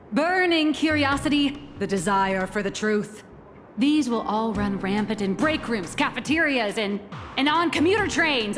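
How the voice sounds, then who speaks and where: Confident young strategist: persuasive, slightly high, long reverb tail, game music under. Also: female voice. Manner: assured, persuasive, reasoning.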